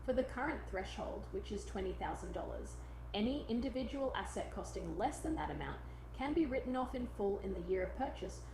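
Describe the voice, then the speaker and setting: A woman reading a scripted explainer in a measured, instructional way, as a presenter. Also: medium pitch, lively intonation, background noise.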